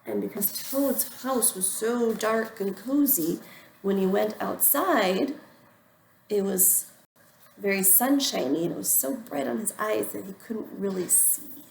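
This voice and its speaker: A woman teacher reading a storybook aloud to young children, explaining simply with deliberate phrasing. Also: mid-range voice, vocal fry, a little mellow, roomy sound, slight background noise, poor audio. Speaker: first-grade teacher.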